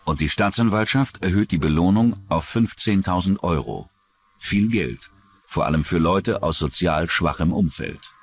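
A man's voice: deep voice, lively intonation, moderate pace. A man narrating a true-crime documentary in a dramatic scripted style.

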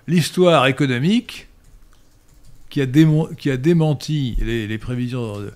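An older man answering listener questions in a professorial, hesitant manner with frequent pauses and restarts, recorded on a stand mic in a study. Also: medium pitch. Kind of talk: lecturing Q&A answers. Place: study room indoors. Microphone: studio mic on stand.

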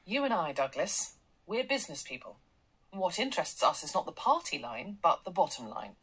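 A brash woman tech entrepreneur. She is slick and salesy, her voice pitched a little low and slightly husky, with lively swings in this radio play. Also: moderate pace, heavily acted, low-quality recording. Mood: assertive, slick. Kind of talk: radio drama acting.

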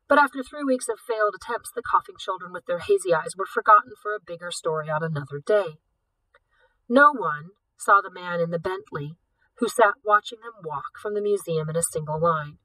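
A woman's voice: fairly low pitch, normal speed, dry recording, distant mic, low-quality recording. A woman, a teacher, reading a novel aloud, lifting her voice for the characters' lines. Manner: shifts up and louder for dialogue.

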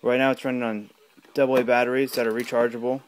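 A man giving a casual, unscripted gadget demonstration at home, talking in a halting, rambling way. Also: mid-range voice, flat delivery. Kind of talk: casual unscripted product demo. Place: small room at home. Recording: clear background noise with clicks.

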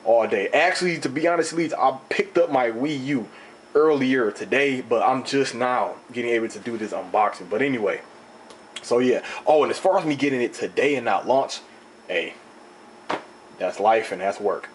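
A young man with a slightly raspy voice, rambling and animated as he talks through an unboxing on his own channel, recorded indoors on a camera mic. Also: medium pitch, moderate pace, a little hiss or hum, poor audio. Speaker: video host. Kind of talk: casual unboxing talk. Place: indoor room, table top.

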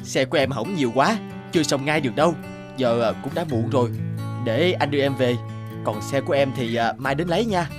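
A man voicing a kind, passionate young repairman in a cartoon, courteous and calm, with a Northern Vietnamese accent. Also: mid-range voice, fairly quick, music in the background, natural voice. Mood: reassuring. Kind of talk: animated cartoon dialogue.

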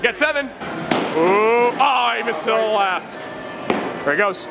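A young man with a Midwestern American accent, cheering on his friends and commenting excitedly as he vlogs a game in an arcade bowling alley. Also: high voice, unhurried, vocal fry, heavy background noise. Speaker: vlogger host. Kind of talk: casual arcade vlog commentary. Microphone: handheld camera. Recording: loud background music, busy arcade.

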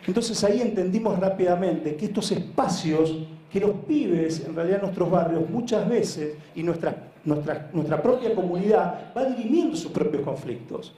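A man's voice: high-pitched, animated, normal speed, mellow. A man with a Buenos Aires accent giving an informal conference talk, earnest and emphatic, often repeating words and checking in with listeners. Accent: Argentine, Buenos Aires. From Argentina. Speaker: speaker, head of a social sports foundation. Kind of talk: conference presentation, informal storytelling. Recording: echoey room, live talk.

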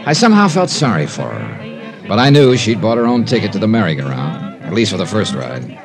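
A man's voice: fairly low pitch, huge pitch swings, fairly quick. An American actor playing a wry, hard-boiled male investigator who also narrates, in a 1950s radio drama. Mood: tense narration. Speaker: lead actor and narrator of a detective series. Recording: 1950s radio broadcast with music.

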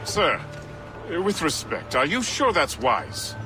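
An actor playing a hearty, loyal and wry first mate in a video game. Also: male voice, on the high side, sing-song, slow pace, over music. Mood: wry, respectful. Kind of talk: video game cutscene acting.

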